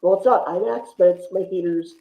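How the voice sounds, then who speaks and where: A man's voice: high voice, a little fast, gravelly, warm tone, reverberant. A man chatting casually about films as a podcast panelist, over a muffled, thin connection. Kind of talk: casual film chat. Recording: muffled, thin phone-like line.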